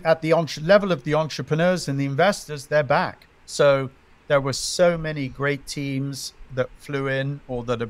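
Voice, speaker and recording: Measured, emphatic man giving interview answers. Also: medium pitch. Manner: measured, emphatic, lists points in threes. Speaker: venture investor guest.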